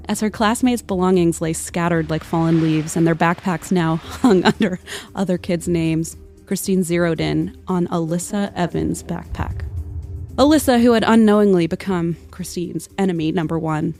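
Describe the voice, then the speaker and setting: A woman's voice: on the low side, darkish tone. A woman narrating a scripted comedic tale in a mock-dramatic, literary manner. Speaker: podcast storyteller. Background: music under the voice.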